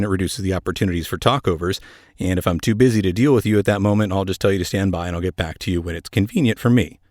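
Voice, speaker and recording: Guy doing podcast narration, lowish voice, conversational n a bit wry, really dry recording no room. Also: a little mellow, dry recording. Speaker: podcast host; air traffic controller.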